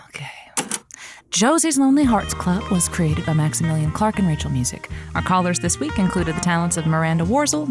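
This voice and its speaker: A woman acting as an effusive radio host for the lovelorn in an audio comedy. She speaks at a middling pitch, stumbling a little, syrupy on top and sarcastically prickly underneath. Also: lightly acted. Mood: chatty, winding down. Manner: gushing, sarcastic, hesitant. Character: effusive, prickly woman hosting a lonely hearts show.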